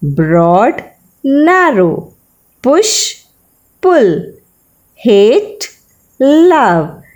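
A woman with an Indian accent tells a children's bedtime story. Her delivery is very slow and deliberate, with highly animated intonation. The voice is fairly high and teacherly, addressed to young listeners. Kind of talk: scripted bedtime story narration.

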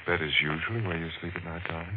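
An actor playing a controlled husband who feigns tender concern with coaxing, condescending menace in a 1940s radio drama. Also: male voice, low-pitched, rapid-fire, natural voice. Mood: soothing, patronizing. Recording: noisy, muffled vintage radio recording.

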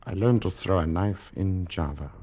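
A gravelly, cold plantation husband. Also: male voice, sits low, moderate pace, warm tone, slight background noise. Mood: cold menace. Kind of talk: 1950s radio drama acting. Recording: dull old radio recording.

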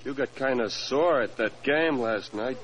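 A man acts out a servile but crafty racetrack grease monkey from a 1940s radio crime play. His talk is slangy and unschooled, full of double negatives, at a middling pitch. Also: measured pace, natural voice. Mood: sly, insinuating. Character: sly, servile tough-talking race-track mechanic.

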